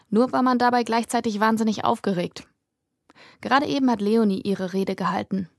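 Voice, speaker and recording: A woman's voice: mid-range voice, dry recording. Woman narrating fiction in a dry recording. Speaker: audiobook narrator. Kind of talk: scripted fiction narration, present tense.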